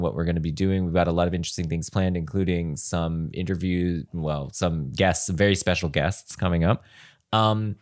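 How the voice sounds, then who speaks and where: A man's voice: sits low, clean tone. A man hosting a podcast in a casual chat, rambling and chatty, full of fillers. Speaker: podcast host.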